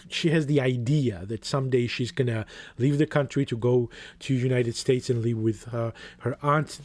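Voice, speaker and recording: A man's voice: mid-range voice, darkish tone, no room sound. Georgian man reviewing books, dry close sound. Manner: thoughtful, with frequent restarts and hesitations. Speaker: book reviewer. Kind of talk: casual book review.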